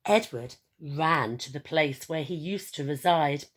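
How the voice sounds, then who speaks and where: A woman reading a picture book aloud to children, theatrical and expressive, with encouraging asides and questions to young listeners. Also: deep voice, measured pace.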